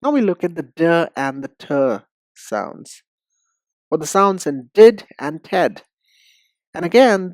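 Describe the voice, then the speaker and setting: A man's voice: a little high, dry recording. Male English teacher, close dry sound. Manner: deliberate, didactic, addresses the learner directly. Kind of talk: instructional lesson with demonstrations.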